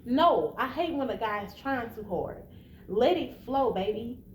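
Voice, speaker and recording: Echoey, higher-voiced American woman talking candidly. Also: expressive, unhurried. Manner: blunt, informal, emphatic, addressing listener directly. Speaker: vlogger giving relationship advice. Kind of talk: candid casual advice monologue. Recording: echoey room, faint background noise.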